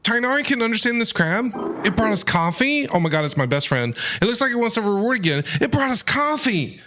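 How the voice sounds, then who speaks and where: A male game streamer with a high-pitched voice. He comments casually on the playthrough, talking back to the story and thinking out loud in a chatty way. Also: big pitch swings, a little fast, faint music behind. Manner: chatty, reacting aloud.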